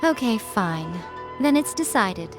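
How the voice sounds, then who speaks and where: Haughty teasing shrine lady for an anime game, mid pitch, smooth drawl, bit of music under it. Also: sing-song, slow. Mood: breezily decisive. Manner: smooth, drawling, playfully condescending. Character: aloof, teasing shrine priestess woman, sly and haughty. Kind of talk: anime-style video game voice acting. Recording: background music behind the voice.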